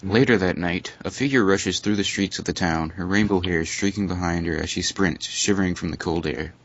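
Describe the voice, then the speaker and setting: A man with a low voice reads fan fiction in a casual, bantering way. He slips into exasperated asides between passages. The recording is dull and lo-fi. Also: a little fast, a bit bright.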